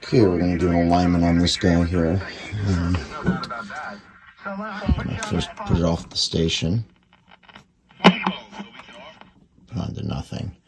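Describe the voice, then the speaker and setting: A man's voice: low voice, steady tone, slow pace, long pauses, crowd noise, rough recording. A man giving a casual repair demonstration, muttering a running commentary to himself as he works. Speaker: radio repairer.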